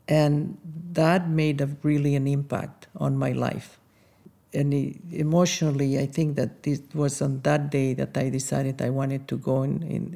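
Middle-aged woman, creaky voice, soft warm tone, conversational interview storytelling. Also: low voice. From Andorra. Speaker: interviewee, biotech entrepreneur.

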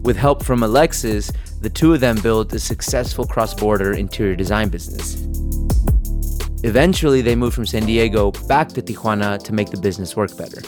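Male host-narrator, lowish voice, measured scripted delivery. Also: over music.

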